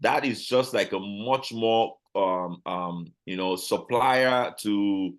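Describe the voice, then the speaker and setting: A man with a Nigerian accent, a guest talking casually in an interview, animated and full of restarts and repeated words. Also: on the low side, slow. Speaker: guest, business owner.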